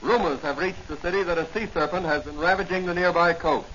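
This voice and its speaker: A man's voice: a little high, fast talker, fairly bright tone, slight background noise. A man announcing and narrating in a theatrical, declamatory 1940s radio drama style. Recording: muffled old 1940 radio recording, music behind.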